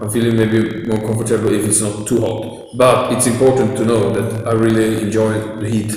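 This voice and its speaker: A man's voice: fairly low pitch, flat delivery, normal speed, darkish tone. A man from Sweden, a native Swedish speaker, chatting casually as a guest and talking hesitantly with many fillers and restarts. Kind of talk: casual chat. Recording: echoey room, faint noise.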